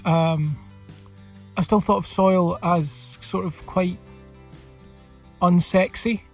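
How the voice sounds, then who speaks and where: A man giving reflective interview talk in a thoughtful, halting way. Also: sits high, steady tone. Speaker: project team member. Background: plucked guitar music.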